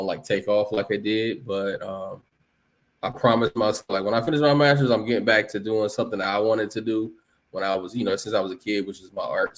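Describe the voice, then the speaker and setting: Low-pitched male guest from Los Angeles, late thirties, loose and rambling. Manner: relaxed, rambling, many fillers and restarts. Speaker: guest artist. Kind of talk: casual interview.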